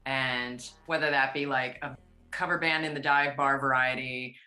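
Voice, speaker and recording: A woman singer who grew up near Pittsburgh, chatting casually and at length in an interview. She has a low, strong rock voice. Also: normal speed, faint music behind. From USA, outside Pittsburgh. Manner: chatty, animated, rambling. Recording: roomy echo.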